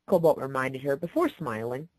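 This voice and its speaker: A woman's voice: very deep voice, animated, normal speed, husky, dry recording. A woman reading scripted story narration aloud as the narrator.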